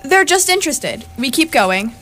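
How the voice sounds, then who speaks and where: A woman's voice: high voice, very animated, normal speed, fairly bright tone. A woman playing a brash, thrill-seeking spaceship captain in comic radio theatre, dismissive and commanding. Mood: cocky, excited. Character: cocky, thrill-seeking spaceship captain chasing fame and money. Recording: noisy live stage recording.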